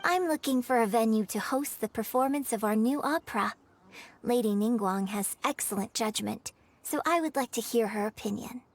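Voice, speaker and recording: A woman's voice: a little high, normal speed. A woman playing a gracious young opera performer in a video game, speaking with formal, courteous poise. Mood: gracious, composed. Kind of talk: scripted video game dialogue.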